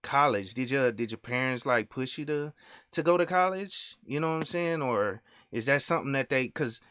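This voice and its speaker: A man's voice: mid-range voice, animated, moderate pace, a bit bright, smooth, no echo, studio quality. A young man hosting a live-stream interview, who asks loose questions that often trail off, with a habit of saying "you know what I'm saying.". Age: young adult. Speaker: host.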